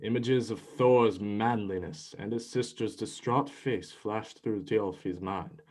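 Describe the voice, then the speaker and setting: Male narrator, lowish voice, scripted mythic storytelling for an amateur play. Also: a little mellow.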